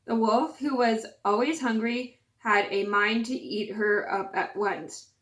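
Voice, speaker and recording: A woman's voice: on the high side, steady tone, measured pace, fairly bright tone. A woman with a scratchy voice hosting her channel and reading a fairytale aloud, with chatty, apologetic asides. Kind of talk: storybook read-aloud.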